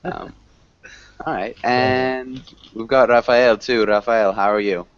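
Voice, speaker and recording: A man's voice: mid-range voice, fairly even intonation, takes it slowly, clear, resonant tone, dry recording. Encouraging male teacher on muffled call. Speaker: online English teacher. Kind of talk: conversational group class, correcting learners. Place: group video call. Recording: muffled, lo-fi online call audio.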